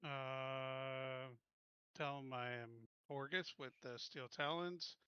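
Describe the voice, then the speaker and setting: A man's voice: medium pitch, fairly even intonation, slow pace, bright, forceful tone, clear, resonant tone, no echo, dull audio. A man chatting casually as a player in a tabletop roleplaying game.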